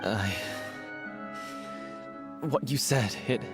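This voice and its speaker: An actor playing a chastened, hot-tempered male stage performer in a video game, halting and earnest. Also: medium pitch, over loud music. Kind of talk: scripted video game dialogue.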